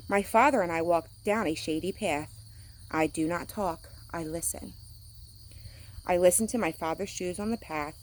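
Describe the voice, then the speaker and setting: Lower-voiced woman reading a picture book. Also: normal speed, rough recording. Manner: acts out sound words; simple, addressing young listeners. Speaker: teacher reading aloud to children. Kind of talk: picture-book read-aloud.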